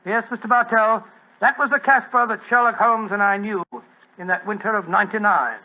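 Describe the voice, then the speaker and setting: An actor playing a genial elderly English doctor with a British accent and an avuncular, bumbling manner, in 1940s radio style. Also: male voice, sits high, fairly quick, natural voice. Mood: nostalgic, cosy. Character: genial elderly English doctor reminiscing, loyal detective's companion. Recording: muffled old-time radio recording.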